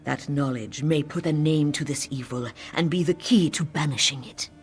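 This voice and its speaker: A man voicing a solemn cat-folk mystic in a video game, giving measured, urgent guidance. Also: a little high, close to an everyday voice. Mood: grave urgency. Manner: grave, measured, instructive. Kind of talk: scripted video game quest dialogue.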